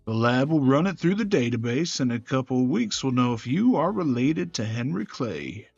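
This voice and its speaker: A man voices scripted visual novel drama in a serious, measured way. His pitch sits in the middle. The recording is dry and slightly dull, with music underneath. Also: sing-song, vocal fry, a little mellow, slightly rough, no echo. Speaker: gaming channel creator voicing every character. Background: game music.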